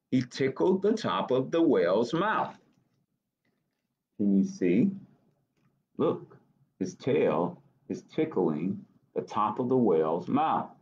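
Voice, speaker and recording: Slow, animated American man reading to children. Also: on the low side, muffled recording. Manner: expressive, pausing to ask questions. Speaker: library storytime reader. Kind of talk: reading a picture book aloud to children, with asides. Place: small office, indoors.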